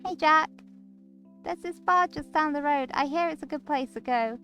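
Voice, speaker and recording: A woman's voice: high-pitched, normal speed, bright, forceful tone, faint music behind, voice pushed up. A woman voicing a cheerful, pushy young female coworker in a visual novel, coaxing and sweetly teasing. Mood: bubbly, friendly. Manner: bubbly, coaxing. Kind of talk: visual novel character acting.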